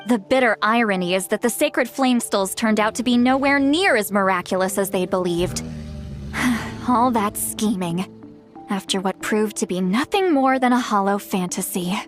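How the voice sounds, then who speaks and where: A thoughtful woman voiced by an actress speaks in a middle pitch over faint music. She is reflective and a little wry, choosing eloquent, measured words as a character in a story-driven game. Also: natural voice. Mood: rueful. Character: thoughtful, wry woman explaining a tragic mystery. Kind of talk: scripted video game dialogue.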